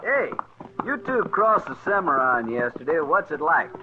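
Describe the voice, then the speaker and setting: An actor playing a gruff, blunt, folksy cattle trail boss in an old-time radio western. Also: male voice, a little high, big pitch swings, moderate pace. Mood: hearty, businesslike. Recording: tinny vintage radio recording, light noise.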